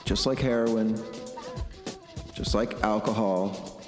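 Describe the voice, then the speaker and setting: A middle-aged man giving a reflective, blunt personal monologue in a halting, deliberate way, repeating phrases for emphasis. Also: lowish voice, fairly even intonation. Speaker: podcast host. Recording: echoey long reverb, music underneath. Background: music.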